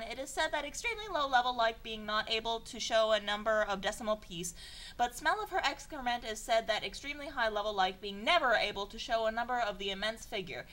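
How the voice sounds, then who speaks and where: A woman reads a story aloud in an informal, theatrical way. Her pitch moves around a lot, and her manner is sardonic and exasperated, as if narrating under protest. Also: fairly bright tone. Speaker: narrator of a comedic fan fiction reading. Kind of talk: informal dramatic reading with asides.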